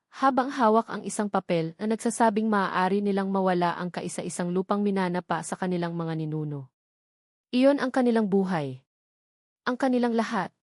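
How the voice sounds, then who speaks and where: A woman tells a romantic drama as a scripted story. Her voice sits at a medium pitch, and she delivers each phrase with solemn, deliberate weight. The sound is dry, with no room around it. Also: a little mellow, no room sound. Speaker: storyteller narrator.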